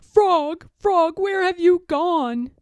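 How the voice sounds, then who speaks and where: A woman voicing a proud but easily worried male toad in a children's storybook, with loud, dramatic cries. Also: extremely high pitch, expressive, drawn-out words, no echo, voice pushed up, full character voice. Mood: anxious, pleading. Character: boastful male toad turning anxious and lonely.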